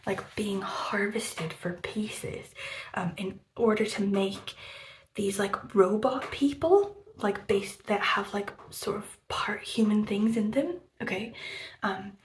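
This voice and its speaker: A woman's voice: medium pitch, takes it slowly. Rambling woman vlogger in a roomy space. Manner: rambling, filler-heavy, swears freely. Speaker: book vlogger. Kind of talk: casual book review chat. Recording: roomy room echo, faint background noise.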